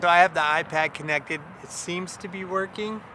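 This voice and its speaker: A man's voice: sits high, moderate pace, noisy background. A man giving casual, off-the-cuff vlog updates to camera while outdoors at night. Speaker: video creator in a filming challenge. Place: outdoors at night near a road.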